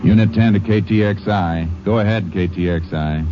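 Actor for stern lawman lead; very deep, commanding, lo-fi radio sound. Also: normal speed, background noise, close to an everyday voice. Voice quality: clipped, commanding. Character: stern male Texas Ranger lawman. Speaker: narrator and lead. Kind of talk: 1940s radio acting. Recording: dull old radio recording.

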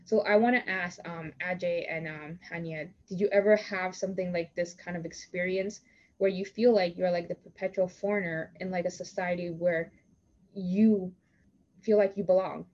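A woman's voice: fairly low pitch, steady tone, moderate pace, darkish tone. A young woman from Toronto hosting a podcast. She talks casually in long runs full of "like" and restarts. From Toronto, Canada. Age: young adult. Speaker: podcast host. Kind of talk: casual conversational interview. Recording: slightly muffled lo-fi recording.

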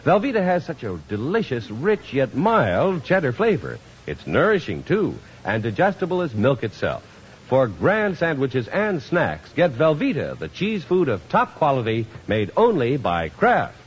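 A man's voice: mid-range voice, wildly swooping pitch, measured pace. A man announcing in a scripted 1950s radio sponsor style. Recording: dull, faintly noisy radio, audience behind.

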